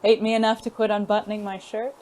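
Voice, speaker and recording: Coy, refined gentleman played by a woman. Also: mid-range voice, flat delivery, fast, a little hiss or hum, close to an everyday voice. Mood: flirtatious. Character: refined male lover of a detective, coy, secretive, wounded. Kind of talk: noir audio drama dialogue.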